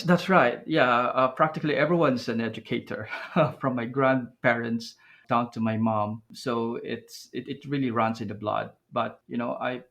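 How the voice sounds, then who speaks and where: A man's voice: medium pitch, vocal fry, a bit bright. A man from the Philippines whose first language is Aklanon, speaking as a guest researcher in a podcast interview, thoughtful, with many fillers and restarts.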